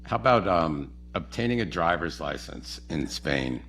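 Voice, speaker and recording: A man in a casual podcast conversation, relaxed and asking questions. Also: low-pitched, unhurried, soft background music. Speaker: podcast guest.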